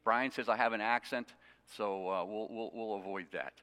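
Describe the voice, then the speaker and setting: A man's voice: lowish voice, fairly even intonation, normal speed, sharp tone. A man preaching an expository sermon to his congregation, conversational with self-deprecating asides. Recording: long reverb of a hall.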